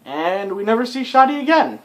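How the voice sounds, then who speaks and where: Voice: mid-range voice, very animated, a little fast. A young adult rambling casually as a let's play commentator while playing a video game. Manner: rambling and chatty, with many fillers. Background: game soundtrack music.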